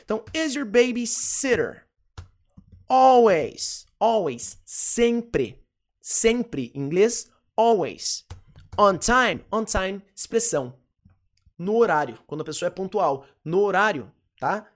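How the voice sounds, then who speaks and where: A teacher, talking to camera in an English lesson and repeating words slowly to drill pronunciation. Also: mid-range voice, expressive. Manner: explains in quick asides, then stresses English words. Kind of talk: online English lesson for Portuguese speakers.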